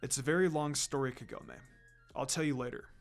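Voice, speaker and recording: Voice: mid-range voice, fairly quick, soft background music, voice pushed down, natural voice. A narrator voicing a stoic, reserved male demon dog lord in terse, grave phrases. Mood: curt, reserved.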